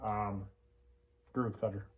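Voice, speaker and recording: Man giving a halting, casual how-to. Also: on the low side, flat delivery. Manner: halting, informal, thinking aloud. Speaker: hobbyist mechanic presenting a homemade tool demonstration. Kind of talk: casual unscripted how-to explanation.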